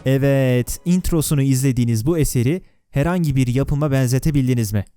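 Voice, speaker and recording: Crisp, dry-sounding wry male narrator. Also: medium pitch, quick pace, mellow, no echo, soft background music. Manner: wry, sarcastic, addresses viewers with questions. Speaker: video host, narrator. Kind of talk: scripted video narration with casual, conversational asides. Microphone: close, dry voice-over microphone.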